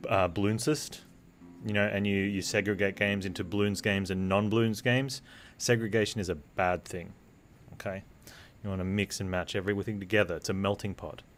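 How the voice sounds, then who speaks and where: Guy streaming a game, kinda low voice, deadpan rambly talk to chat, soft music under it. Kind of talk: casual live stream chat with rambling commentary.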